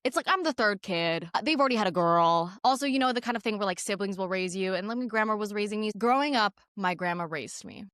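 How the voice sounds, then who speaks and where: A woman's voice: mid-range voice, a bit bright, clean tone, no echo, studio quality. A young woman from Canada hosting a podcast, telling a personal story in a candid way, with lots of "like" fillers. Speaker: podcast host.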